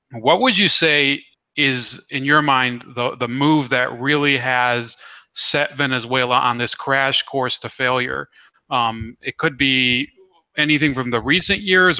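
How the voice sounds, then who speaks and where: A man hosting a casual interview in a halting way, as if thinking aloud. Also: medium pitch, pushed and bright, no room sound, highs cut off. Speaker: interview host.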